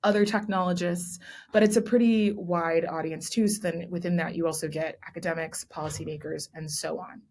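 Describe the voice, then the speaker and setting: A woman's voice: on the low side, steady tone, brisk, darkish tone. A young adult woman, raised in Texas, giving articulate, explanatory interview answers as a guest, often adding "right?". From born in Nigeria, raised in Texas. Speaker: guest, commissioning editor.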